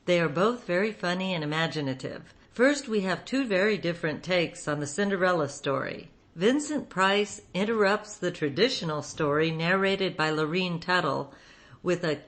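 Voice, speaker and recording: A woman's voice: fairly low pitch, fairly bright tone. A woman radio host reading a scripted introduction.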